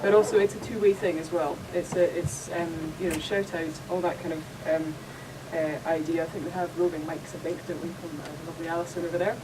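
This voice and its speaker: A woman's voice: lowish voice, fairly even intonation, brisk, hardly any pauses, distant mic, noisy background. A Scottish woman hosting a festival panel discussion, chatty and rambling with many restarts. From Scotland. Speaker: panel host. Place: conference room.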